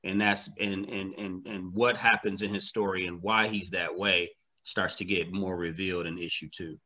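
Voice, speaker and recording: A man's voice: low voice, level tone, sharp tone. A man speaking as an interview guest in casual, slangy conversation, repeating words and feeling his way through sentences. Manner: halting, repeats words, slangy, often says "man" and "you know". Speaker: interview guest, comic book creator.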